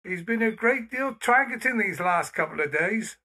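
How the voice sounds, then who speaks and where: Rustic west country foreman in a radio play, chatty n deferential, sharp raspy voice. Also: sits high, lively intonation, normal speed, full character voice. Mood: eager, respectful. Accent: English West Country. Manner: folksy, rambling. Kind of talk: audio drama acting.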